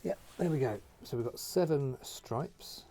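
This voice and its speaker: A man hosting a hands-on tutorial, talking casually and thinking aloud in an unhurried way with pauses. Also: mid-range voice, expressive, dark tone, faint music behind. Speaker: host of a model painting tutorial. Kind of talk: casual talk-through while painting.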